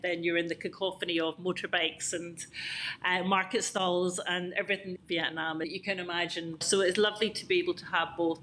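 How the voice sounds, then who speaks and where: A woman's voice: fairly low pitch, fairly bright tone, slight background noise. A Scottish woman in her fifties, a podcast guest recalling her childhood in a reflective, detailed, conversational way over a remote call. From Scotland. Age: 50s. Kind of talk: conversational interview storytelling.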